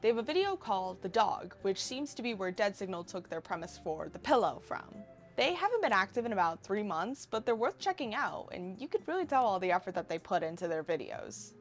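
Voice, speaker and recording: Female, 20s, animated commentary narrator, wry and casual, average pitch, light music bed. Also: brisk. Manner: wry, sarcastic asides. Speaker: video essayist. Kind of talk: casual scripted video essay commentary.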